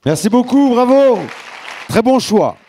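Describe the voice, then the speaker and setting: Mellow-voiced man addressing a ceremony. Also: extremely high pitch, big pitch swings, measured pace, some chatter behind, slight background noise. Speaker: festival director presenting awards. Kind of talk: live stage address at an award ceremony.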